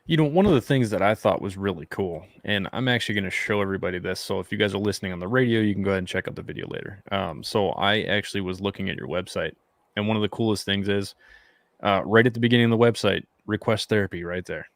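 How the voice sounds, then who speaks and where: Male host, low voice, quick loose chatter, dry close recording. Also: no room sound. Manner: fast, loose talker with many fillers. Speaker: podcast host. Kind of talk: casual interview chat.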